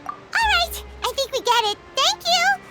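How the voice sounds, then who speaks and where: A woman voicing a small, excitable, curious female fairy companion in a video game, with a squeaky childlike voice and manner. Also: a little slow, over loud music, full character voice. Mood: puzzled. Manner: childlike, refers to self in third person.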